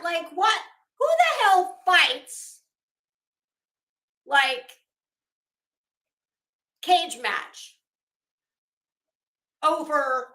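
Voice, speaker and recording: An American woman hosting a livestream, chatting casually with viewers in a sarcastic, blunt, sweary and animated way. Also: high-pitched. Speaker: livestream host. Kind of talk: casual chat with live viewers. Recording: roomy, echoey sound.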